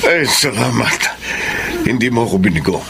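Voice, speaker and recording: An actor playing an older Filipino father, a smooth-talking politician who persuades in a paternal, deliberate way in a radio drama. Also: medium pitch, animated, noisy background. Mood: pleased, coaxing. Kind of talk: Tagalog radio drama acting.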